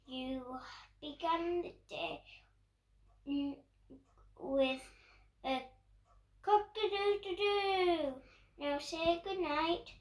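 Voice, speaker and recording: Little british girl doing storytime, really slow and high voice, playful, sounds roomy and a bit far from the mic. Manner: playful, acts out bird calls and actions. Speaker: storyteller reading a picture book for young children. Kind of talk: read-aloud storytime. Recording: roomy echo, distant microphone.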